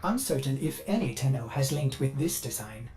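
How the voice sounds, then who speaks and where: A man's voice: mid-range voice, lively intonation, normal speed, warm tone, slight background noise, close to an everyday voice. Man playing a chirpy, swooping ship AI. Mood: helpful, analytical. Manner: fussy, theatrical, over-eager. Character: chirpy, bug-addled male ship AI, eager servant with dark glitches. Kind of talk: video game voice acting. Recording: music behind the voice.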